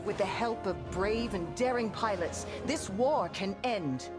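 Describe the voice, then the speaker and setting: A woman's voice: mid-range voice, animated, unhurried, music in the background, natural voice. An actress playing a resolute woman who leads a new republic, giving a solemn, rousing recruitment speech in a video game. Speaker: narrator. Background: orchestral score.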